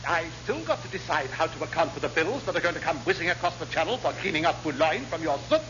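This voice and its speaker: A man's voice: a little high, lively intonation, fast, close to an everyday voice. An English man playing an exasperated, pompous navy captain, blustering and easily flustered, in a classic radio sitcom. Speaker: actor in radio comedy. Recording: muffled, noisy old radio recording.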